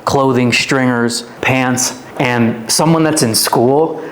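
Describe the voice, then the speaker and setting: A man's voice: mid-range voice, fairly even intonation, slow pace, fairly bright tone, a little hiss or hum, poor audio. A man in his twenties from Canada, a podcast guest telling his life story in a loose, rambling way full of "like.". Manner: rambling, filler-heavy storytelling with many "like"s. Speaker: guest, fitness influencer. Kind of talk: casual storytelling interview.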